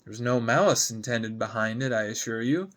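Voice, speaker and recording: A man acts out a corporate guy in his late twenties in a drama reading. He is smooth and polished on the surface, with a crude, defensive streak. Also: medium pitch, normal speed, fairly bright tone, close to an everyday voice. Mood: polite, smooth. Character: suit-and-tie consultant in his late twenties, charming then crude.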